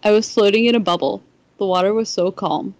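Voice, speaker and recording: A woman's voice: medium pitch, steady tone, fairly quick. A woman reading a first-person story aloud on a podcast, sometimes stumbling over the words. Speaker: fan fiction podcast reader.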